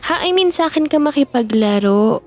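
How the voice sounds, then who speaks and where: A woman's voice: high-pitched, expressive, fairly quick, a little mellow, faint music behind. A woman performing an audio roleplay as a playful young best friend who teases, giggles and backtracks when flustered. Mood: teasing. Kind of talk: audio roleplay, chatting while gaming.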